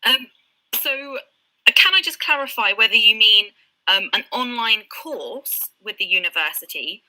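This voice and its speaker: A woman's voice: fairly high pitch, lively intonation, normal speed, pushed and bright, low-quality recording. A British woman tutor explaining things in an online live session, with frequent ums and light laughs. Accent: British English. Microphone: video call.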